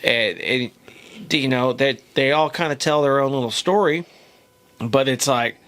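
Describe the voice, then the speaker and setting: A man's voice: medium pitch, a little slow, a bit bright. A man hosting a casual podcast about music. He rambles, restarts his sentences and swears casually. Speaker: podcast host and band member.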